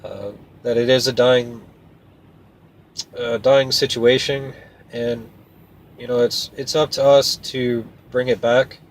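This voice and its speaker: A man's voice: mid-range voice, takes it slowly, pauses often, poor audio. A man talking casually to viewers as a trucker vlogger, in a plainspoken, folksy, hesitant way. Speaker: trucker and video host. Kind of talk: casual rambling vlog talk to viewers. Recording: clear steady background noise.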